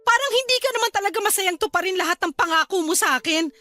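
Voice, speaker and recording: A woman's voice: very high voice, lively intonation, fast talker, bright, forceful tone, soft background music, heavily acted. A woman playing a resentful, sulky young wife and mother in a Filipino radio drama, speaking tersely and pleadingly with a Filipino accent. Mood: tearful, hurt.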